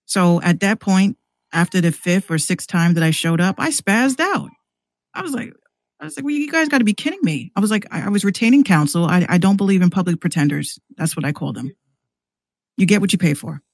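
An American woman chatting casually and candidly in a slangy, animated way, acting out exchanges as she tells stories. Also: fairly low pitch, fairly quick, no room sound. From New York City, US. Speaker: podcast guest, rapper and radio show host. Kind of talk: casual conversational storytelling.

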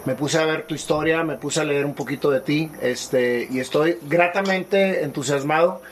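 A man's voice: fairly high pitch, normal speed. Guy hosting a casual interview, chatty style, bit of background noise. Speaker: interview host.

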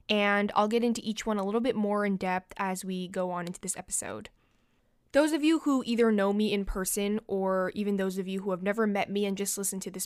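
A woman's voice: medium pitch, smooth, no room sound, clean studio sound. A young woman hosting her own podcast, chatting casually and confidingly with lots of fillers, her nose a little stuffy from allergies. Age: young adult. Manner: chatty and confiding, many "like" and "you know" fillers. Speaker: podcast host. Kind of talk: casual solo podcast chat.

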